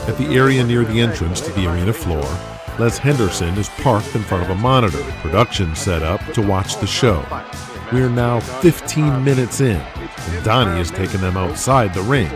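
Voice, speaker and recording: A man delivers dramatic scripted narration for an audio drama. His voice is on the low side, intense and emphatic, riding over a loud musical score. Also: expressive, normal speed. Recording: loud music bed under voice.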